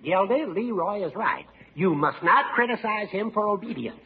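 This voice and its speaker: A sanctimonious old judge in a classic radio comedy. His high voice rises and falls widely as he declaims primly. The sound is narrow and tinny. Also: expressive, normal speed, breathy edge, heavily acted. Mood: smug, approving. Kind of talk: 1940s-50s radio comedy acting.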